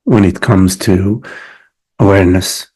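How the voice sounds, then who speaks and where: A man leading a guided meditation as a teacher, speaking reflectively in short phrases with long pauses between them. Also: low-pitched, steady tone, dark tone, slightly rough.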